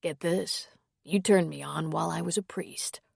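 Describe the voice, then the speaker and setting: A woman's voice: on the low side, moderate pace, creaky, a little mellow, no room sound, studio quality. A woman reading as an earnest former priest. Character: gentle middle-aged man, former priest, earnest. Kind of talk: audiobook character reading.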